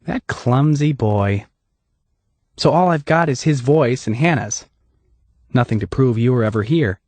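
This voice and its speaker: A man's voice: medium pitch, lively intonation, unhurried, a little mellow, no room sound, voice pushed up. A man voicing a wry, businesslike female agent.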